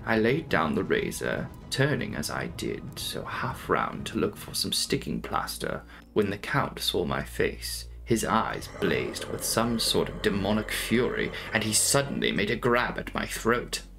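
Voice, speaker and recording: A young man giving a dramatic, brooding reading of a gothic novel, with theatrical, deliberate phrasing. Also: on the low side, big pitch swings, gravelly, over music. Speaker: audiobook narrator.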